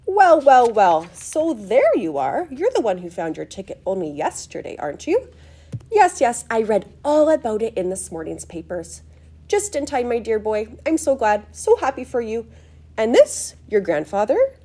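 Woman acting eccentric old gentleman, high fluty voice, excitable, gushing, swooping pitch, storybook character. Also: normal speed, breathy edge. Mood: gushing delight. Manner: effusive, gushing, exclamatory. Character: excitable, eccentric, effusive old male chocolate factory owner.

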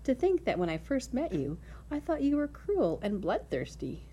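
A woman's voice: a little high, wildly swooping pitch, moderate pace, mellow, slight background noise, voice pushed up. A woman voicing a devoted, emotional young male pig in a storybook, with an earnest, urgent way of speaking. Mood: moved.

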